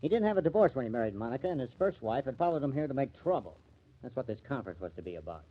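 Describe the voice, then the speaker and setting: An actor playing an anxious, earnest press agent in a radio drama, hesitant and placating. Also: male voice, medium pitch, sing-song, rapid-fire, breathy edge. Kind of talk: 1950s radio drama acting. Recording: dull vintage radio recording.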